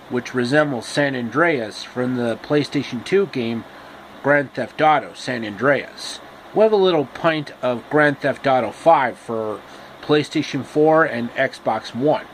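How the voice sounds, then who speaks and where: A man narrating a comic story casually and haltingly, pausing mid-sentence. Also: medium pitch, a little hiss or hum, rough recording.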